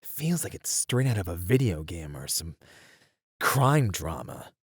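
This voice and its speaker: A weary male detective, played by a man with a fairly low voice, recorded dry and close. He thinks aloud in a casual, musing manner, as in a one-sided ASMR audio roleplay. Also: very animated, a little slow, husky, dry recording, heavily acted. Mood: weary, determined. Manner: casual, musing, sighing delivery. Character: weary male detective puzzling over a serial killer case.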